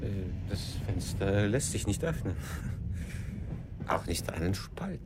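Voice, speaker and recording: A man's voice: lowish voice, sing-song, takes it slowly, noisy background, heavily acted. An actor playing a jealous, besotted middle-aged man on his honeymoon in a radio drama, reproachful and dryly sarcastic. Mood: playful.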